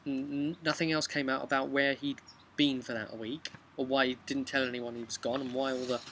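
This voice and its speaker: Bright-voiced man chatting about tabletop gaming. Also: mid-range voice, slight background noise, rough recording. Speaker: tabletop game master. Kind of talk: casual vlog recap of a role-playing session. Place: outdoors on a bench beside a brick house.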